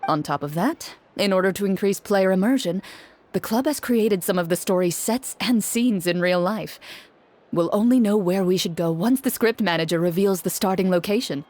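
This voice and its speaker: A woman voicing a composed, serious, courteous young woman in a video game, measured and formal. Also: mid-range voice, a little mellow, close to an everyday voice. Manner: measured, formal, matter-of-fact. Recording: music bed behind the voice.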